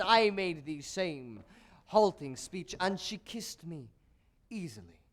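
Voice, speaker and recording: A scheming, embittered court composer played by a man confides in the audience. His very high voice moves slowly and swings widely, grandiose and declamatory, as in a stage tragedy. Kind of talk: theatrical stage monologue.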